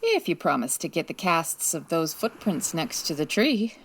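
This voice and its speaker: A woman playing a sassy, sharp female private detective in a comedic 1940s-style radio drama, with wry, teasing delivery. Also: sits low, big pitch swings, normal speed, breathy edge, exaggerated voice. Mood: brisk, teasing. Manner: wry, teasing, dry quips.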